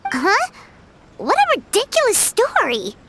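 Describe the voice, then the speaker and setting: A woman's voice: very high-pitched, huge pitch swings, unhurried, a bit bright, slight background noise, exaggerated voice. A woman voicing a tiny, childlike fairy companion in an anime game dub, chirpy and easily indignant. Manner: indignant, chirpy, speaks of herself in third person.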